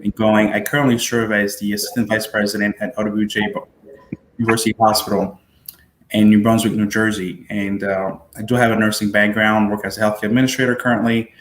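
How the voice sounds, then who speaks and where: Haitian-raised man, halting, echoey room. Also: on the low side, little pitch movement, a little hiss or hum. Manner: halting, many fillers and repeated words. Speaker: panel guest, hospital administrator. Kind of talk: self-introduction on a webinar panel.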